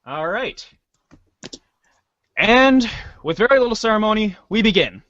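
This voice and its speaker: A man's voice: high voice, very animated, measured pace, a bit bright, no echo. A man casually hosting a reader's theater show, on a dull, dry recording.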